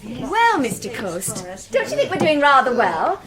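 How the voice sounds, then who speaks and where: This is a confident, commanding landowning wife in a radio play. Her voice is fairly high and animated, brisk in manner, with crowd chatter around her. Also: full character voice. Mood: bright, bossy. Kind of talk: radio drama acting.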